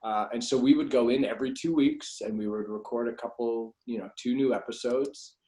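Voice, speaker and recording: A man's voice: medium pitch, fairly even intonation, a little fast, dark tone. A middle-aged man, reminiscing casually as a voice actor being interviewed. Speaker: guest, voice actor. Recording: echoey room sound.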